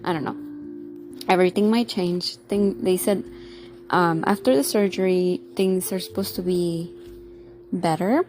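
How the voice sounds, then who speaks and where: A woman's voice: on the low side, fairly even intonation, a little slow, long pauses, a little mellow, soft background music. A young woman vlogging in a casual, rambling way, full of fillers and restarts, her voice sounding congested and nasal. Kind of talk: casual talk to camera.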